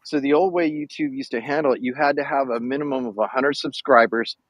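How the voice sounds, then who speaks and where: A man with a mid-pitched voice, heard close and dry. He explains step by step, as a panel guest. His delivery is informative and even. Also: quick pace, no room sound. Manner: informative, walks through details step by step.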